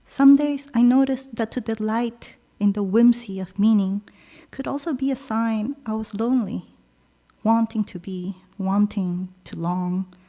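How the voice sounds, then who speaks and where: A woman poet, native Korean speaker raised in Peru, reading her own poems aloud in a measured, pausing delivery. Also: on the high side. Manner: slow, measured delivery with soft pauses between lines. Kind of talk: poetry reading.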